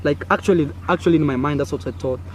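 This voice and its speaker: A young man with a Kenyan accent, Swahili his first language, telling a childhood story to camera in a casual, animated way, acting out sounds and gestures. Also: a little high, quick pace. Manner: animated, acts out sounds and gestures with frequent fillers. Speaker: content creator. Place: outdoors in a village. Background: faint village noise.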